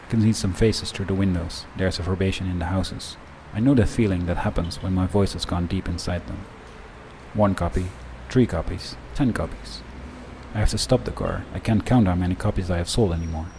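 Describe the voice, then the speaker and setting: A man delivering a scripted first-person monologue in a measured, reflective way. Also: sits low, a little mellow, a little hiss or hum, poor audio. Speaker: narrator.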